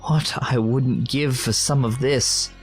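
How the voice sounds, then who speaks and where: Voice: medium pitch, steady tone, slow, soft background music, lightly acted. A performer in an audio drama playing an earnest, frank commoner of little means. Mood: wistful.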